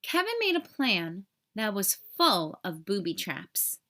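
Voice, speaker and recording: A woman narrating a children's story aloud in an expressive, clearly enunciated storytelling manner. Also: medium pitch, slow pace. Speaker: storybook reader.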